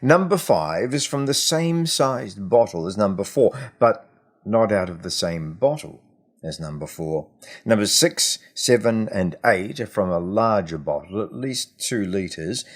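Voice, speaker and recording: A man's voice: lowish voice, exaggerated voice. A man voicing a frank, exacting teenage boy in a story, firm and methodical. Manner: firm, matter-of-fact, methodical.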